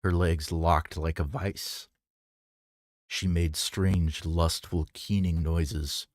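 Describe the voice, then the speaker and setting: A man reading a novel aloud in a measured, dramatic storytelling manner. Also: sits low, fairly even intonation, a little mellow, dry recording, clean studio sound. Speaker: audiobook reader. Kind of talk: scripted fiction narration.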